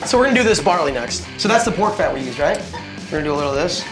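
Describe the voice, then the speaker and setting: A man in his thirties hosts a casual cooking segment and keeps teasing his guest as he explains each step. He talks quickly. The small kitchen sounds echoey, with music running underneath. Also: fairly high pitch, big pitch swings. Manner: teasing, joking. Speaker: chef and host. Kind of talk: casual cooking show banter.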